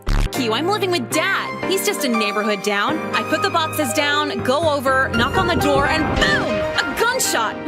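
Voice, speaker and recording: A woman voicing a jaded, foul-mouthed teenage high school girl in a visual novel game, deadpan and caustic. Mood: exasperated. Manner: deadpan, cynical, casually caustic. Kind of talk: visual novel game voice acting, monologue and dialogue.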